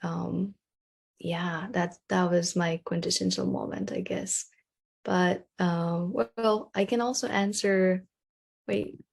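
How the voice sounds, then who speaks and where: Creaky-voiced woman. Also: fairly low pitch, fairly even intonation, slow. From Nepal. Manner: soft-spoken, hesitant, many ums. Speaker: graduate student. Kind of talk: casual talk in an online info session.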